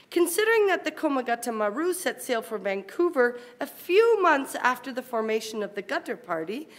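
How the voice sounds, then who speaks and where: Higher-pitched woman reading an academic paper. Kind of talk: formal conference paper read aloud, with brief explanatory asides.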